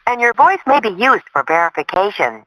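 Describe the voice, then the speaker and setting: A woman's voice: mid-range voice, very animated, fairly quick, pushed and bright, dry recording. A woman reading a recorded bank phone menu announcement, heard down a phone line.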